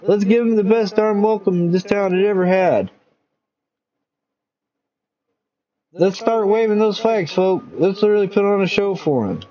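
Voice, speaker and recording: A man voicing an excited small-town man rallying a crowd to welcome a soldier home. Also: sits high, moderate pace, voice pushed up, natural voice. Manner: boisterous, rallying. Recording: dull lo-fi recording.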